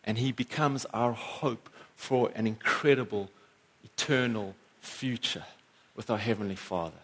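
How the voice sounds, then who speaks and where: A man's voice: a little slow, a bit bright, slightly rough. A pastor preaching a sermon in church, earnest, lifting and dropping his voice for emphasis. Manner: earnest, rises and falls in pitch for emphasis.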